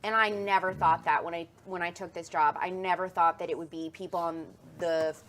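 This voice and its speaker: A woman's voice: on the low side, steady tone, brisk, fairly bright tone, slight background noise. A woman panelist on a live talk show, chatty and emphatic, using many fillers.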